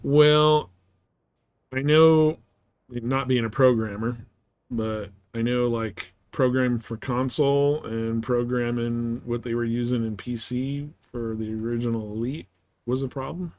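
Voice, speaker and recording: A man's voice: medium pitch, steady tone, a little mellow, dry recording. Man, easygoing game streamer, dry close sound. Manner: easygoing, halting, thinking aloud. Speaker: game livestream host. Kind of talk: casual livestream chat while gaming, answering viewers.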